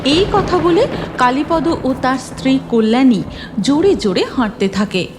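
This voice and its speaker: A woman's voice: high voice, lively intonation, a little slow, over music. A woman narrating a cartoon story from a script.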